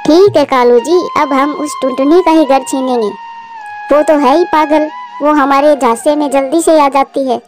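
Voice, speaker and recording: A woman voicing a scheming, sharp-tongued crow wife in a Hindi cartoon. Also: high voice, fairly even intonation, normal speed, faint music behind, lightly acted.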